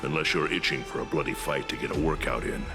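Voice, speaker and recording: Very deep, gruff warrior lord. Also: male voice, quick pace, music in the background. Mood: hushed, wary. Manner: blunt, commanding, sardonic. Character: gruff, wary veteran warrior lord, loyal and combative. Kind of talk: video game voice acting.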